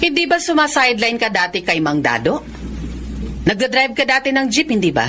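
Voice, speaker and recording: An actress playing a blunt police sergeant who questions and chides teasingly in a radio drama. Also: female voice, a little high, big pitch swings, brisk, noisy background. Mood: chiding, teasing. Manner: teasing interrogation.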